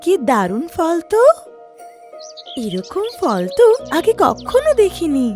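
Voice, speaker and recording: A woman's voice: very high-pitched, wildly swooping pitch, slow pace, over music, full character voice. A woman voicing a sweet, innocent, curious little animal in a children's cartoon. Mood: delighted, curious. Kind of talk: cartoon voice acting.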